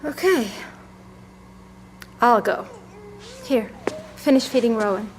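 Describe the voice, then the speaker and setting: A resolute young mother who leads survivors in an audio drama. Her voice is on the high side, firm and decisive. A faint hum sits behind her. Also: slow pace, poor audio. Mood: determined. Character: resolute, protective woman leader and young mother.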